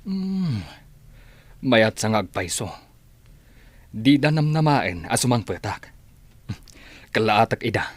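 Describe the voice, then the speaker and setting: A man's voice: mid-range voice, sing-song, slow pace, pauses often, dry recording. A man acting a worried father in an Ilocano radio drama. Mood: resolved. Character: worried father and boss, anxious about his child.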